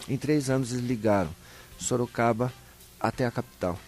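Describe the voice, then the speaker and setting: Homem do interior paulista contando histórias. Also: male voice, mid-range voice, normal speed, faint music behind. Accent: Brazilian Portuguese, São Paulo interior. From Brazil. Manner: animated storyteller, acts out short dialogues, colloquial. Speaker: interview guest, local historian. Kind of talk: live interview, storytelling.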